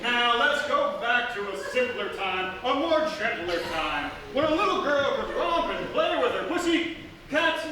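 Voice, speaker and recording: Man, high voice, mock old-time radio announcer, showy and earnest, distant mic on stage. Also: sing-song, measured pace, few pauses, pushed and bright. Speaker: announcer and host. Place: live stage in a theatre. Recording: echoey theatre, distant mic, noisy.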